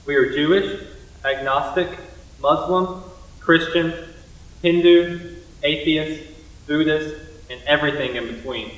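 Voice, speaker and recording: Lighter-pitched man, echoey and distant. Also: fairly even intonation, a little slow, pauses often, fairly bright tone. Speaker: student performer in readers theater. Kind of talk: scripted ensemble recitation. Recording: echoey room, distant microphone.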